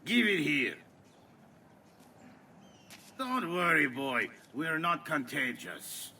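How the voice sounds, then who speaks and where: An actor playing a brash street rogue in a video game, drawling and taunting. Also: male voice, fairly high pitch, big pitch swings, extremely slow, bright, forceful tone, slight background noise, full character voice. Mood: gruff, taunting. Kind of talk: scripted video game acting.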